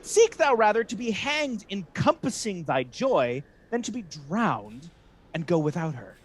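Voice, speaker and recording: Guy playing a sneaky bitter soldier villain in a radio shakespeare, theatrical, pitch swinging all over, kinda high. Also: very animated, measured pace, slightly rough, heavily acted. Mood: emphatic persuasion. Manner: theatrical, persuasive, emphatic. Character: scheming, resentful, manipulative Venetian ensign villain, male. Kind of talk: Shakespearean radio play acting.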